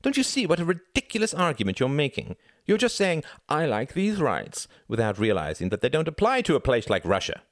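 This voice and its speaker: A man's voice: on the high side, huge pitch swings, fairly quick, few pauses, no echo, studio quality, voice pushed up. A man voicing an argumentative young son, a haughty intellectual who lectures emphatically and rhetorically in a dramatised story reading. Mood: sardonic.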